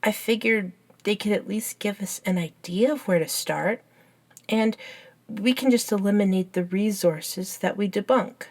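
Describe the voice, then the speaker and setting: A woman voicing an eager, curious, nerdy young best friend in a scripted ASMR roleplay, chatting and explaining in an unhurried, softly enthusiastic way. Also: medium pitch. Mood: earnest, curious. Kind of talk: ASMR roleplay, scripted one-sided conversation.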